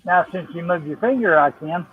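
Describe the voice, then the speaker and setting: A man with a notably high voice chats casually and reads out comments on a live stream. His audio is dry and muffled, with a dull, low-fidelity sound. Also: expressive, brisk, breathy edge, no echo. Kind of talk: casual chat.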